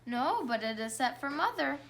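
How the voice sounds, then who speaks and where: A woman's voice: high-pitched, big pitch swings, normal speed, creaky, a bit bright, exaggerated voice. A woman reading a storybook as a tired mother, polite but firm in her requests. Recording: faint background noise.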